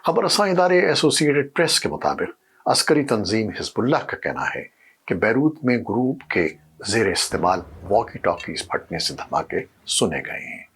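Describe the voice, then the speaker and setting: Husky, lowish male newsreader. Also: very animated, fairly bright tone. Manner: formal, measured newsreader delivery. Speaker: news presenter. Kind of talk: scripted news narration.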